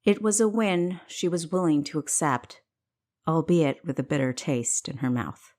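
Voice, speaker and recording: A woman's voice: sits low, unhurried, a little mellow. A woman reading scripted fiction as the narrator of her own audiobook.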